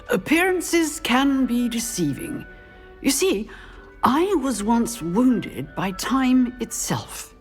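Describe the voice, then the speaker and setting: A woman's voice: a little high, sing-song, very slow, soft background music, exaggerated voice. An actress playing an older witch professor in a video game, poised, formal and wry, with a British accent. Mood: guarded, wry. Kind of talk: video game character acting.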